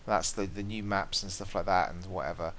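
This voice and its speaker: Low-voiced man on a hissy podcast. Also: steady tone, a little fast. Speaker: podcast co-host. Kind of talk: casual gaming podcast chat. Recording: dull lo-fi audio with faint hiss.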